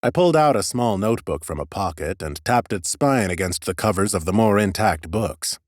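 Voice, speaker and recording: A man reading expressive first-person scripted narration as an audiobook narrator. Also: lowish voice, moderate pace, no echo, studio quality.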